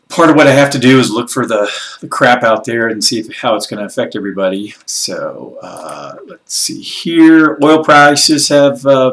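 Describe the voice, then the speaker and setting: A man's voice: medium pitch, fairly bright tone. A man giving a casual weekly market recap as a financial advisor. He is a little congested and froggy, and he rambles with lots of fillers and blunt asides. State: slightly congested and froggy after a workout. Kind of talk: casual solo commentary on news and markets.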